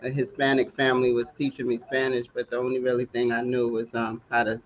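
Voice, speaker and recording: A man's voice: mid-range voice, a little fast, slight background noise. Chatty man on muffled call audio. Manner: animated, chatty storyteller. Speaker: guest. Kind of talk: casual chat.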